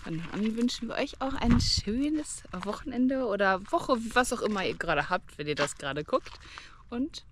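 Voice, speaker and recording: A woman's voice: a little high, lively intonation, normal speed. Cheerful German woman vlogging outside. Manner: cheerful, addresses viewers directly. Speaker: travel vlogger. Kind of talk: casual travel vlog chat. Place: outdoors on a road trip. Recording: outdoor recording with clear background noise.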